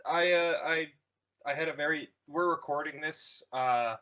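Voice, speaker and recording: A young man tells rambling, self-mocking stories while co-hosting a casual podcast. His voice is bright and edged with tension, and the audio is dull and lo-fi. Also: fairly high pitch, measured pace. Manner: rambling, self-deprecating storytelling. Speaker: podcast co-host. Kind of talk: casual chat.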